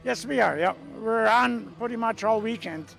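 Gravelly older Swiss-accented man. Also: high voice, normal speed, a bit bright, faint music behind. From Switzerland. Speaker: alphorn player. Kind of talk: casual outdoor interview. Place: outdoor festival.

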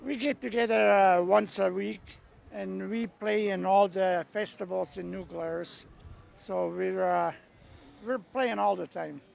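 Gravelly older Swiss-accented man. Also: sits high, fairly even intonation, a little slow, darkish tone. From Switzerland. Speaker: alphorn player. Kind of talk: casual outdoor interview. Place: outdoor festival.

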